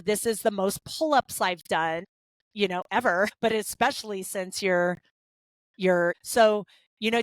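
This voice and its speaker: A woman's voice: mid-range voice, no room sound, low-quality recording. A woman in her thirties co-hosting a podcast, talking candidly in a casual chat with many fillers and restarts. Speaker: podcast co-host.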